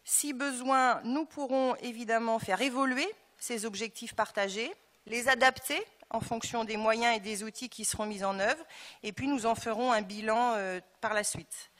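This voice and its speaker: A woman's voice: fairly high pitch, fairly even intonation, normal speed, a bit bright. A woman giving a formal presentation as an elected official at a council meeting. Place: large council chamber. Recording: echoey council hall with long reverb.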